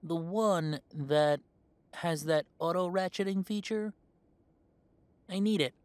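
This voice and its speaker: A man's voice: high voice, slow, a little mellow, no echo. A man playing an easygoing, confident young werewolf in a roleplay audio drama, talking in a chatty, hesitant, conversational way. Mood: casual, preoccupied. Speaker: voice actor.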